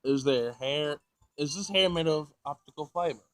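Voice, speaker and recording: Man reading as a formal, halting android girl. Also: fairly high pitch, natural voice. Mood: probing. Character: formal, literal-minded android in teenage girl's body. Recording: music behind the voice.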